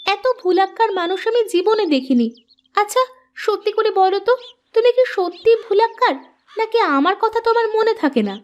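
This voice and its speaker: A very high, thin-pitched village wife played by a woman in a cartoon. She chides and nags her husband expressively, yet she clearly cares. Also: expressive, fairly quick, muffled recording. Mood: nagging. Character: nagging but caring village housewife. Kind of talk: cartoon voice acting.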